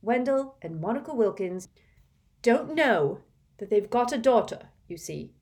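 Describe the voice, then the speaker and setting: An earnest, bookish teenage girl witch, played by a woman reading a storybook, pitched well above her own voice. She sounds tearful and quavering at moments, with a lively, swinging melody. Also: moderate pace.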